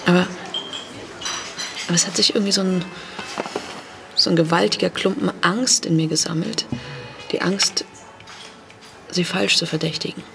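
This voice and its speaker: An actress playing an anxious, hurt young woman who confides haltingly, as if interviewed in an audio drama. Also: fairly low pitch, fairly even intonation, gravelly, music in the background. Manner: halting, confessional.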